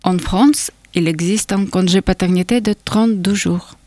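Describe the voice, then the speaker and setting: Woman from Ukraine, second-language speaker, measured prepared reading, dry close sound. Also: medium pitch, warm tone, no echo. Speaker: testimony speaker.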